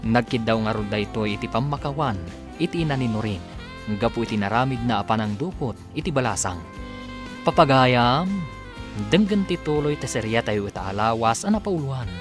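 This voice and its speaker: A man's voice: mid-range voice, lively intonation, moderate pace. Filipino male radio announcer over music. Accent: Filipino, Ilocano. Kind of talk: scripted dramatic radio narration.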